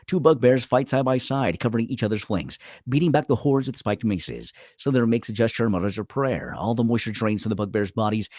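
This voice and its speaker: A man's voice: on the low side, darkish tone, dry recording, clean studio sound. A man reading screenplay stage directions aloud as a dramatic narrator at a table read.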